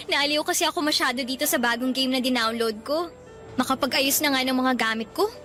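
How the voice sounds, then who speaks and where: Young female student, Filipino accent, very bright high voice, teasing, radio drama. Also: moderate pace, faint music behind. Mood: cheerful, teasing. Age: young adult.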